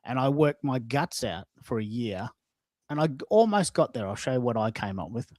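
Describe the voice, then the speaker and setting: A man's voice: medium pitch, animated, normal speed, darkish tone, no echo, clean studio sound. An Australian man hosting a casual online chat show, emphatic and repeating phrases for stress. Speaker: host of a live online audio show.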